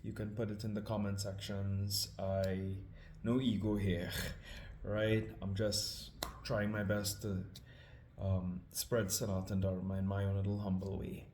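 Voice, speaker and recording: A man with a Trinidadian accent, hosting scripture readings, speaking in a casual, hesitant, humble way with many pauses and fillers. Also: on the low side, level tone, darkish tone, reverberant, a little hiss or hum. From Trinidad and Tobago. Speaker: scripture reader. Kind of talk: casual spoken introduction.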